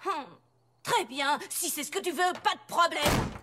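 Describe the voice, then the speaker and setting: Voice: extremely high pitch, a little slow, background noise. An actor voicing a spirited, skeptical young boy dragon, argumentative and loyal, in a dubbed children's cartoon. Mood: pleading. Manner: emphatic, argumentative.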